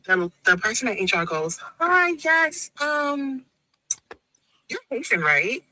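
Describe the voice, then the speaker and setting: A woman's voice: high-pitched, sing-song, slow pace, sharp tone, dry recording, rough recording. A woman with a West Indian accent tells a story in an angry, profane rant and acts out each person in it. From West Indies. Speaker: woman in a played social media clip.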